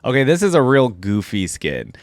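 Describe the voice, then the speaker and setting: A man's voice: mid-range voice, wildly swooping pitch, moderate pace, no echo, clean studio sound. A man giving casual, unscripted reaction commentary, thinking aloud in an informal way as he goes. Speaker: reaction video host.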